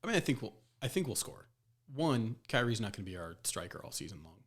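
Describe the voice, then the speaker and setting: A man's voice: fairly low pitch, very animated, fast. An American man chatting casually as a panelist on a sports podcast.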